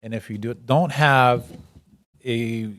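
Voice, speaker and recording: A man talks in a flat, even voice at a city workshop, plain-spoken and direct. His pitch barely moves. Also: slow, no room sound, clean studio sound. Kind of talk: public meeting discussion.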